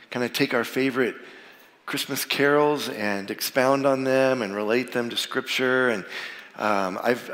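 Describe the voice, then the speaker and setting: A man's voice: medium pitch, fairly bright tone. Plainspoken male pastor, a bit froggy with a cold, preaching in a reverberant sanctuary. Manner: informal, plainspoken, with frequent fillers. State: getting over a cold, a little froggy. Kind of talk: sermon with prayer. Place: church sanctuary. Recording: long reverb of a large hall.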